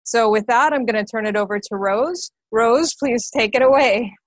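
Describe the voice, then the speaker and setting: A woman's voice: on the high side, normal speed, no echo. A woman moderating an online webinar, introducing the presenter and adding encouraging comments. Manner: upbeat, encouraging. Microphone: computer mic on video call.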